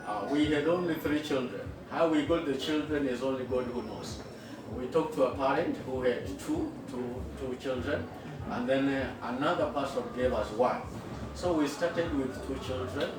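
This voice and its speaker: A middle-aged man with a Kenyan accent, a school founder, telling his story in an interview in an earnest, unhurried way with frequent fillers. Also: mid-range voice. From western Kenya. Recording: echoey room, far from the mic, with noticeable background noise.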